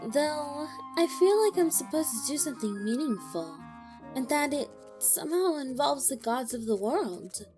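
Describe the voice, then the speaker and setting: A woman playing a young traveling bard in a fantasy audio drama, earnest, expressive and easily exasperated. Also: sits high, measured pace, music in the background, poor audio. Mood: reflective. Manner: expressive, indignant when provoked.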